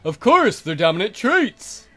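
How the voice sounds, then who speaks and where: A man plays a wolf-man suitor in a fantasy romance game. He is smug and pushy, declaiming with self-satisfaction. The pitch is lifted and animated, over faint music. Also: measured pace, heavily acted. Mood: suave.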